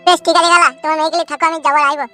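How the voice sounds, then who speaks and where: Whiny chicken-hearted cartoon husband, fast squeaky talk. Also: male voice, level tone, music in the background. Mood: complaining. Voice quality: whiny, stammering. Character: cowardly henpecked husband, whiny and crude.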